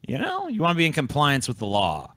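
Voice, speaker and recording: A man's voice: a little high, huge pitch swings, quick pace, sharp tone, dry recording, clean studio sound. A man co-hosting a podcast in casual, joking chat, swearing crudely and making mock boasts. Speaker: podcast co-host.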